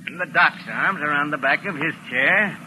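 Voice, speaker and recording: An actor playing a tough, sneering gangster boss in a 1940s radio crime drama. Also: male voice, on the high side, normal speed, natural voice. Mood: gloating, businesslike. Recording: noisy vintage 1940s radio broadcast.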